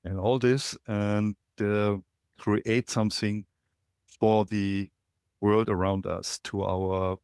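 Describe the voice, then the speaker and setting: A middle-aged man from Germany with a German accent, a podcast guest calling in remotely and telling his story in a reflective, halting way with many fillers. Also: on the low side, a little mellow, smooth, no room sound, clean studio sound. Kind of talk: casual interview, storytelling. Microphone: remote call.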